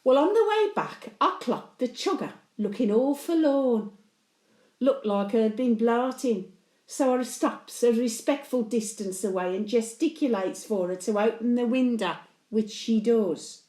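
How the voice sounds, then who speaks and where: A woman's voice: fairly high pitch, expressive, a little slow, a little mellow, roomy sound. A woman playing a blunt, antisocial elderly Birmingham widow, telling chatty stories in local dialect as a dramatic monologue. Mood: softened, confiding. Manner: blunt, grumbling, colloquial dialect. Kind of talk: dramatic monologue, chatty storytelling to late husband.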